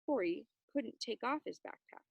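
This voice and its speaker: A woman's voice: on the high side, animated, normal speed, vocal fry, breathy edge, dry recording, highs cut off. A woman reading a children's novel aloud with dramatic, suspenseful narration. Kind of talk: expressive read-aloud narration.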